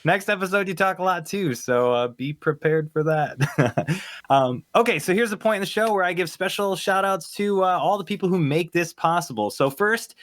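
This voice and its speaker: A man's voice: high voice, lively intonation, normal speed, fairly bright tone, no room sound, studio quality. A man hosting a table read, speaking casually and off the cuff with an enthusiastic, appreciative manner. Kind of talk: casual, unscripted thanks and show wrap-up.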